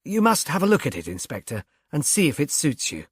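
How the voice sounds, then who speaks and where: A man's voice: a little high, huge pitch swings, brisk, slightly rough, no echo, full character voice. A man voicing a society mother in a storybook, airy and gracious with an affected, genteel manner. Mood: gracious, brisk.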